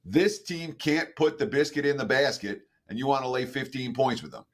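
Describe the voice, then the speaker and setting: A man's voice: mid-range voice, expressive, normal speed. A man co-hosting a sports betting show, rattling off stats and needling the guest with wry questions. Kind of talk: casual betting show chat.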